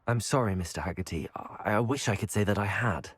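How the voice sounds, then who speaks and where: Polite, lowish-voiced young hero played by a man. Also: dry recording, lightly acted. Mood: earnest. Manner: courteous, measured. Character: polite, earnest young student hero, male. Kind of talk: video game voice acting.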